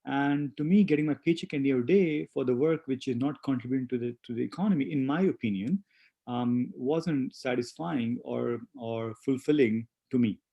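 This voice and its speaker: A man in his forties who grew up in India and speaks English as a second language, reflecting slowly with many pauses and fillers as an interview guest. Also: medium pitch, gravelly, mellow, slightly rough, muffled recording. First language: not English. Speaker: guest, spa owner. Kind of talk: casual interview conversation.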